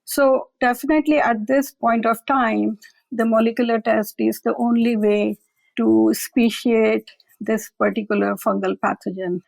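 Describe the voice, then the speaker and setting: A woman's voice: fairly high pitch, steady tone, unhurried, gravelly, a little mellow, no echo, rough recording. A woman scientist from a public health lab, explaining in a plain-spoken, matter-of-fact way during an interview.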